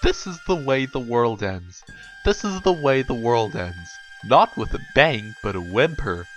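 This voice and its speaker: A man's voice: mid-range voice, sing-song, unhurried, faint music behind. A man giving a theatrical, emphatic dramatic reading of a comedic story. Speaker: narrator reading a book aloud.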